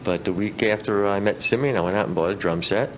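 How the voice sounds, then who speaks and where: A man's voice: fairly low pitch, fast talker. A middle-aged man telling stories casually as a musician guest in a radio interview. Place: radio studio. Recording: noisy old radio aircheck.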